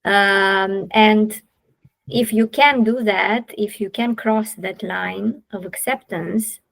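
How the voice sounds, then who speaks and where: A woman's voice: medium pitch, fairly even intonation, gravelly. Romanian woman hosting a podcast, takes her time, lots of uhs. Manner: slow and thoughtful, many "uh" fillers. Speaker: podcast host. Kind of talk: casual interview chat.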